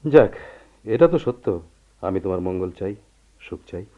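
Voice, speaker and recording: Fatherly older boss, lowish voice, earnest coaxing, radio play, thin phone-like sound. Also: lively intonation, normal speed. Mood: kindly. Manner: earnest, persuasive, avuncular. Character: paternal, coaxing older male office boss. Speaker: radio drama actor. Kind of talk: measured radio play acting. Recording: tinny narrow-band radio drama recording.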